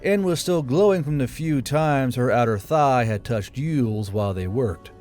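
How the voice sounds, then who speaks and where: Male narrator over soft music. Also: mid-range voice, lively intonation, unhurried. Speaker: audiobook narrator. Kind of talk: scripted narration of a fantasy novel. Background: quiet background music.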